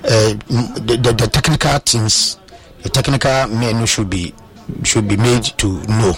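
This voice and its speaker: A man's voice: mid-range voice, measured pace, crowd noise. A man with a Ghanaian accent giving football analysis on a sports talk show, hesitant, with many fillers and restarts, switching into Twi. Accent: Ghanaian English.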